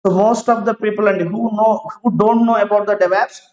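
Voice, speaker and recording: Man, IT trainer, slow repetitive teaching, fairly high voice, muffled roomy audio. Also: fairly even intonation, darkish tone. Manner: slow, repeats each phrase several times; asks students to confirm they follow. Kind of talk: online class lecture. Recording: roomy, dull lo-fi online class audio.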